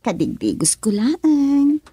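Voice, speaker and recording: A woman's voice: high voice, sing-song, slow, mellow, dry recording, exaggerated voice. An actress playing a playful, coaxing woman in an Ilocano radio drama.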